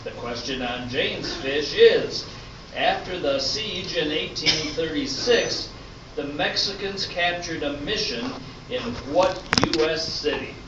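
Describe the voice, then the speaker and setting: Man playing a high-voiced showy game show host. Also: slow pace. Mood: showy, upbeat. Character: upbeat, patient male game show host. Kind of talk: amateur stage radio-play comedy acting. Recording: roomy, distant, noisy dull stage recording.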